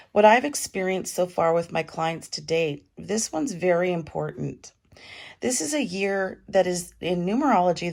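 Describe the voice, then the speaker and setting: Middle-aged woman with a cold, raspy and gravelly, low-pitched, chatting through a home tarot reading. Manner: chatty, warm advice with fillers. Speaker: tarot reader. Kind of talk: casual tarot reading to camera.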